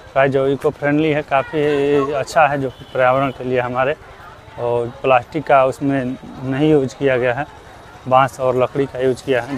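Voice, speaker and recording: Young man touring a craft fair. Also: mid-range voice. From Bihar, India. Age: young adult. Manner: repetitive, asks vendors prices loudly. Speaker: vlogger. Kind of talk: walking market vlog narration. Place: outdoor crafts fair among stalls. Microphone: clip-on lapel mic.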